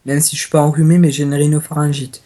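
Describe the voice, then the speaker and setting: A young man with a cold chatting slowly and crudely while gaming, hesitant with fillers. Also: fairly high pitch, level tone, warm tone. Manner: slow, hesitant, crude banter. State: stuffy, has a cold. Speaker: gaming guest. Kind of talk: casual gaming chat.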